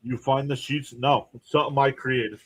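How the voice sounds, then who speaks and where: A man's voice: medium pitch, fairly even intonation, moderate pace, husky. A man hosting a live baseball stream, mixing clipped play-by-play calls with informal fan asides and chat to viewers. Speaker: livestream play-by-play host and fan.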